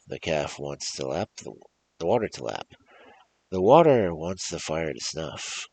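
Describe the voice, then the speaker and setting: A man with a gravelly, husky voice hosting a storytelling show, reading fairy tales in a theatrical, emphatic, rhythmic way. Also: low voice, darkish tone, low-quality recording. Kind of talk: animated performed fairy-tale reading with casual asides.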